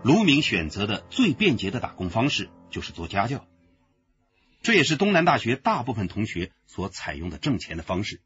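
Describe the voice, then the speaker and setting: A man acting in a radio drama as a sharp-minded detective laying out case findings. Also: lowish voice, wildly swooping pitch, a little fast, husky, muffled recording, full character voice. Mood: explaining.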